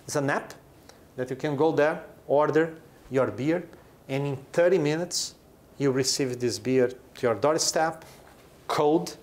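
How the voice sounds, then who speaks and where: A man's voice: fairly high pitch, measured pace. A man in his forties from Brazil, a company chief explaining his business in an interview, with short emphatic phrases. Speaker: chief executive of a brewing company.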